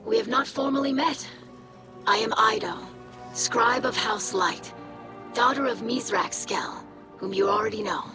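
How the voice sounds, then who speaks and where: A woman's voice: fairly high pitch, measured pace, over music, lightly acted. A woman playing an eager, polite young alien scribe in a video game, speaking in an earnest, formal, bookish way. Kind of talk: video game cutscene acting.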